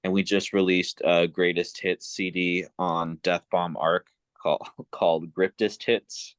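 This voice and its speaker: Slow, low-voiced man with a smooth tone. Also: level tone, no echo. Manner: unhurried, many ums and uhs. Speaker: composer and sound designer guest. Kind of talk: casual interview talk.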